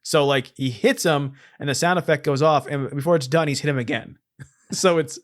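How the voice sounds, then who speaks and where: A man's voice: on the high side, moderate pace. A man co-hosting a podcast in casual, comedic film-review chat, explaining things with repetition and the odd swear word. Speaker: podcast co-host.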